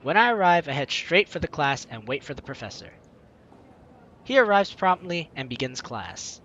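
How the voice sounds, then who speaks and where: Animated, higher-voiced man narrating a game. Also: normal speed, lo-fi sound. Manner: expressive, acts out reactions. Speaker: let's play host. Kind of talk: reading a visual novel aloud with playful asides. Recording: music under the voice. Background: game music.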